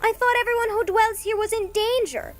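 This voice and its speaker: Fairy ranger: tiny, high-voiced, feisty and proud, fantasy audio drama. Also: female voice, steady tone, fairly quick, soft background music. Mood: indignant. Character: tiny fierce female fairy ranger, quick to accuse.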